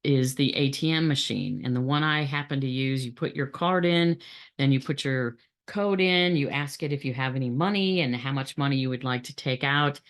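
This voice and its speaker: A woman's voice: extremely low pitch, normal speed. A middle-aged woman gives a training presentation on supply chain methods, speaking plainly with frequent fillers and restarts.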